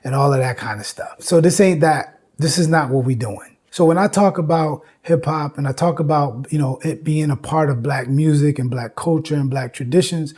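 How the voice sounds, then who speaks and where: A man's voice: a little high, darkish tone, breathy edge. A man giving an informal, explanatory lecture as host, emphatic and repetitive, often checking in with "right?". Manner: emphatic, repeats phrases, frequent "you know" and "right?".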